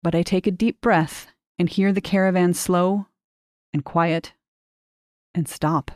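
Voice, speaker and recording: Low-voiced woman reading literary fiction. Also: fairly even intonation, takes it slowly, a little mellow, no echo, clean studio sound. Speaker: short story reader. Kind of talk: scripted literary narration.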